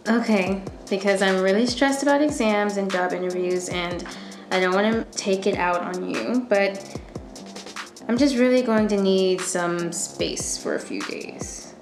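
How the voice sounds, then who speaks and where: A woman's voice: medium pitch, a little slow, natural voice. An actress playing a stressed young female student in a radio thriller, guarded, moody and intense, with a blunt, dramatic manner. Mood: tense, blunt. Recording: echoey room, prominent music underneath.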